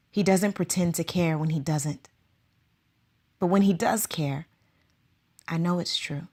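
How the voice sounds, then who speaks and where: A woman playing a soft-spoken, conflicted teacher. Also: lowish voice. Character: female circus school teacher, conflicted and wistful. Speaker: teacher of cyborg circus students. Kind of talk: audio drama acting.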